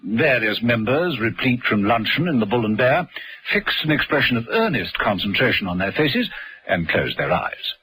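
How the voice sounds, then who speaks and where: A man's voice: medium pitch, very animated, moderate pace, bright, forceful tone, a little hiss or hum, poor audio. A man with a British accent reading scripted narration for a vintage radio drama. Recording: vintage radio broadcast, music behind.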